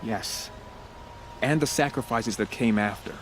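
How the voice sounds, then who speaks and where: An actor playing a humble, remorseful priest in a video game, speaking in a measured, formal, earnest way. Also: male voice, fairly low pitch, slight background noise, close to an everyday voice. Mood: regretful. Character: solemn, grieving young priest, humble and remorseful.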